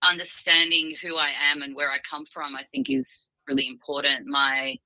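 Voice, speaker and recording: A woman from Australia, a podcast guest, telling her personal story in a casual, halting way with easy laughs. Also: deep voice, fairly even intonation, creaky, pushed and bright, no room sound, poor audio.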